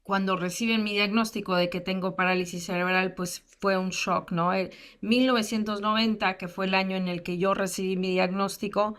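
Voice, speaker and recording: A woman in her thirties speaks as an invited guest and recounts her childhood. Her talk is candid and full of fillers, with flashes of self-deprecating humor. Her voice sits at an ordinary mid pitch. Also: steady tone, moderate pace, hardly any pauses, fairly bright tone. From United States. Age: 30s. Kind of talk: personal testimony in a lecture.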